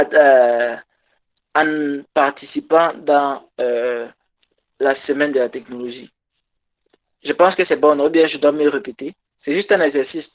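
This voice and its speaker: A man whose first language is English, giving a workshop talk in halting French over a video call and pausing to search for words. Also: on the high side. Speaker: conference speaker. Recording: tinny phone-like call audio.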